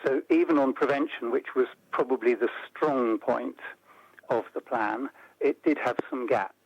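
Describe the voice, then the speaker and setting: Older British man, measured and gravelly. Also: mid-range voice, dry recording, lo-fi sound. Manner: measured, explanatory, builds points step by step. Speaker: interview guest, policy analyst. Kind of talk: radio interview.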